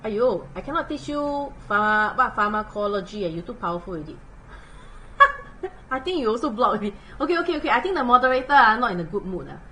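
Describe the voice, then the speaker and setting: A Singaporean woman walks students through math problems in a chatty live lesson, her English sprinkled with local particles. Her pitch swings widely as she talks, and her tone is bright and forceful. A faint hiss of noise sits behind her voice. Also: quick pace, rough recording. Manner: chatty, animated, uses local particles like lah and leh. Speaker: math tutor.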